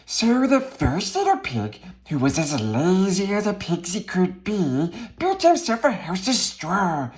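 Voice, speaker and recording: A man voicing a wretched, whiny, gleeful cave creature who reads a children's storybook theatrically, with raspy, strained speech and muttered asides to himself. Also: sits high, wildly swooping pitch, measured pace, hardly any pauses, heavily acted. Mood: gleeful, eager. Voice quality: raspy, strained, swooping between growl and squeal. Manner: muttering asides to self, exclaiming, distorted pet words. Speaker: reader, host of the series.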